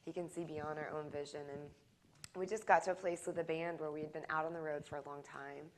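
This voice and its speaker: A woman musician in an interview, speaking earnestly and reflectively with many ums and pauses. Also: low-pitched, fairly even intonation, low-quality recording.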